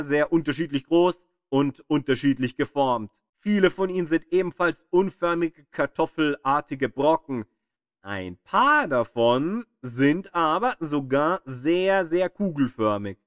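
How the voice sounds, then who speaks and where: A man reading scripted educational narration in a deliberate, explanatory manner, as the narrator of an audio guide. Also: fairly high pitch, dry recording, studio quality. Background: music behind the voice.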